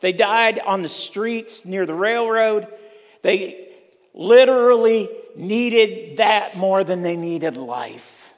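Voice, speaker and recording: Older male preacher, high voice, slow deliberate delivery, emphatic repetition, church sanctuary. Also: expressive. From Ohio, USA. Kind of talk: sermon. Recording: long hall reverb.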